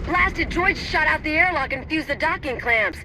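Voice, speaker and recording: A brash female rogue in a space opera game. Her voice is high, with a sarcastic, griping edge. Clear vehicle-like noise fills the background. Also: lively intonation, normal speed. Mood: exasperated, urgent. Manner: sardonic, complaining. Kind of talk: video game voice acting.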